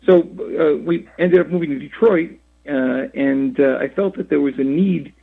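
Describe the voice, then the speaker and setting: A man in his sixties from Rhode Island, a radio interview guest who talks in a halting way with many fillers and restarts, heard over a phone line. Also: on the high side, vocal fry. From Rhode Island, USA. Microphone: phone call.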